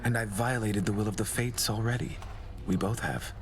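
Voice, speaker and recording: An actor playing a courtly, melancholy male god of doom in a video game, speaking formally and with gentle devotion. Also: lowish voice, fairly even intonation, normal speed, a little hiss or hum, lightly acted. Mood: tender, earnest. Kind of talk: scripted video game romance dialogue.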